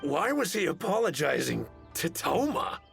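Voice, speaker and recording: A man's voice: fairly high pitch, very animated, moderate pace, creaky, fairly bright tone, faint music behind, full character voice. A man playing an earnest, dutiful samurai retainer in a video game, resolute and stoic about hardship. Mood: puzzled.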